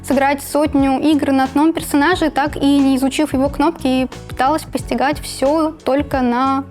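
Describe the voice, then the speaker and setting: A woman's voice: high voice, little pitch movement, moderate pace, a little mellow. A 22-year-old woman from southern Russia answering interview questions as an esports player. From Cherkessk, Karachay-Cherkessia, Russia. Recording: music behind the voice.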